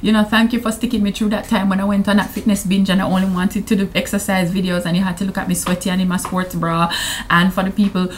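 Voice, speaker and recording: A woman's voice: mid-range voice, flat delivery, quick pace, slight background noise. A woman in her thirties with a Jamaican accent, chatting to camera in a playful vlog and slipping into patois. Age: 30s. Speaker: vlogger. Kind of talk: casual anniversary vlog to camera.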